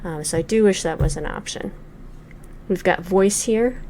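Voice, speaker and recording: A woman's voice: lowish voice, normal speed, darkish tone, slight background noise. A woman in her forties giving thoughtful, hesitant let's play commentary with many fillers while reviewing a game. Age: 40s.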